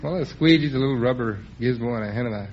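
A man's voice: medium pitch, racing. American guy in his 20s answering quiz host questions, casual, muffled old radio sound. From Los Angeles, United States. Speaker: quiz show contestant. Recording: dull old radio recording, faint noise.

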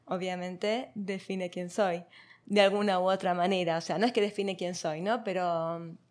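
A woman's voice: fairly low pitch, fairly even intonation, normal speed. A woman from Argentina, a guest in an interview, speaking reflectively with many fillers and light laughs. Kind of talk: conversational interview.

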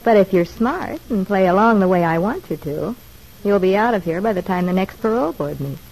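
A woman playing a scheming, bossy prisoner in an old-time radio soap, husky and insistent. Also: mid-range voice, animated, a little fast, a little mellow, low-quality recording. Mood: pushy coaxing. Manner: insistent, calculating.

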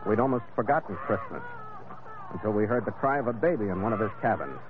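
An actor playing a steady, wry seafaring captain, narrating in a dry, clipped 1940s radio style. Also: male voice, fairly low pitch, quick pace, background noise, natural voice. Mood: measured, wry. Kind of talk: 1940s radio acting, scripted first-person narration. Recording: narrow-band old radio recording. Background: dramatic music.

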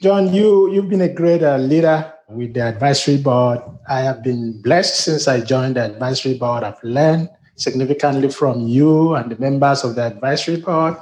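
A man's voice: mid-range voice, moderate pace, few pauses, darkish tone, roomy sound. A middle-aged man from Nigeria with a Nigerian accent, a university sustainability director giving earnest, formal interview answers with frequent restarts.

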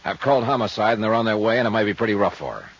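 An actor playing a hard-boiled, no-nonsense insurance investigator in a 1950s radio drama, speaking tersely and matter-of-factly. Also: male voice, on the low side, expressive, rapid-fire, slightly rough. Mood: brisk, businesslike. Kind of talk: 1950s radio detective acting and narration. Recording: muffled old radio recording with music.

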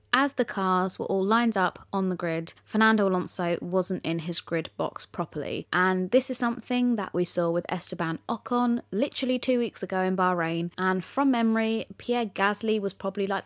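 A young woman hosting a solo podcast, chatty and excitable, talking straight to her listeners with a slightly croaky voice after a loud concert. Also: mid-range voice, dry recording. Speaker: podcast host. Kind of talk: casual solo podcast chat.

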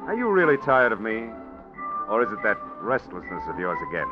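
A man's voice: on the low side, sing-song, fairly quick. A man playing a bitter, needling junior business partner in 1940s radio drama style. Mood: sarcastic. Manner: sarcastic, needling. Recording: dull old radio broadcast with music.

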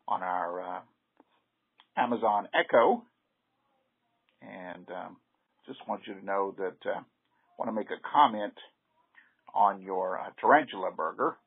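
A male caller from Texas leaving a halting voicemail, pausing often as he speaks. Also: deep voice, slightly rough. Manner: hesitant, halting. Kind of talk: phone voicemail. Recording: tinny phone line.

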